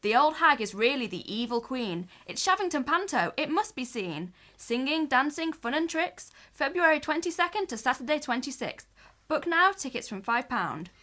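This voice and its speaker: An earnest storybook prince acted by a woman in a pantomime, high and bright in voice. The lines are declaimed in a rhythmic, verse-reading cadence. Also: very animated, moderate pace, exaggerated voice. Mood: declaiming. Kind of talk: amateur pantomime verse recital.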